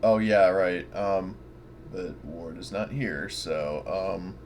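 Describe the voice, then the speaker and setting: Low-voiced man chatting over background noise. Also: slow, darkish tone. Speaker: player in a tabletop roleplaying game. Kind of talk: casual game-table chat.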